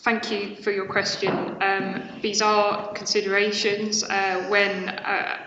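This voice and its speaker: A woman councillor answering a question at a council meeting, measured and pausing often with fillers. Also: mid-range voice, level tone, noisy background. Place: council chamber. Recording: echoey dull chamber recording.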